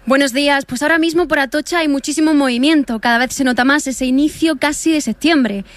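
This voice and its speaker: High-voiced female field reporter. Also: dry recording. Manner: descriptive, measured reading. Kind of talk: radio news report.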